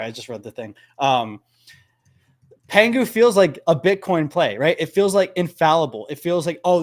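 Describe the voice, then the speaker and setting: Animated man in his thirties, higher voice. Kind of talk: casual podcast chat.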